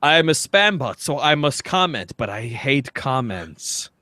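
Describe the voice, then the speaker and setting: A man's voice: mid-range voice, sing-song, a little slow, sharp tone, no echo. A man co-hosting a comedy podcast, bantering casually and doing comic readings. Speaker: podcast co-host.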